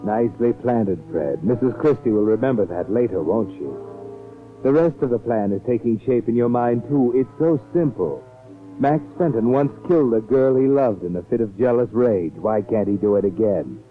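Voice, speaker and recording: An actor playing a sinister, all-knowing, mockingly insinuating narrator in a 1940s radio mystery. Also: male voice, medium pitch, expressive, moderate pace, lightly acted. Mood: knowing, taunting. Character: sinister, all-knowing male narrator taunting a schemer in second person. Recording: tinny 1940s radio recording with music.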